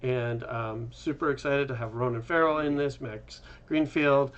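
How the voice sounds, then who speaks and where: A man's voice: mid-range voice, normal speed, highs cut off. A man hosting a casual developer chat in an informal, conversational way. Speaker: host, game developer.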